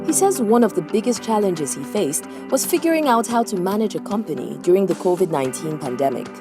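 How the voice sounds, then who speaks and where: A woman's voice: medium pitch, sing-song, fairly quick. A woman reading scripted narration for a news feature. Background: music.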